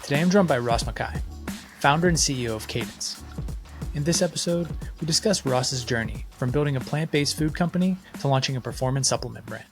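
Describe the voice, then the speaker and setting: A man's voice: medium pitch, fairly quick, music in the background. Casual male podcast host. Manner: friendly, casual, scripted intro then relaxed questions. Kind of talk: podcast hosting and interviewing.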